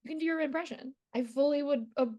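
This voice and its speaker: A woman's voice: high-pitched, fast talker, darkish tone. A woman interviewing in a casual, chatty style with the enthusiasm of a fan. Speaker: entertainment interviewer.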